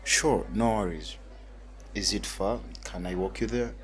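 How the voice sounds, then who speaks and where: A man acting a nervous, courteous young gentleman in a radio drama. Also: fairly low pitch, unhurried, lightly acted. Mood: polite, insistent. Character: nervous young gentleman walking a woman home.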